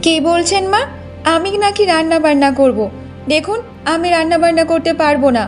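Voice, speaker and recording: A haughty young wife from a cartoon folktale, acted by a woman. Her voice is high-pitched and she talks in a boastful, scolding way, with faint music behind her. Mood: defiant, haughty. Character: vain, haughty young village wife obsessed with her hair. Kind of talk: Bengali cartoon folktale acting.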